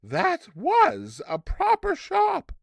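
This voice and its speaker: A man voicing a small, polite, eager boy in a bright, childlike way for a storybook reading. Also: extremely high pitch, huge pitch swings, extremely slow, vocal fry, no room sound, voice pushed up, exaggerated voice. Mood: satisfied.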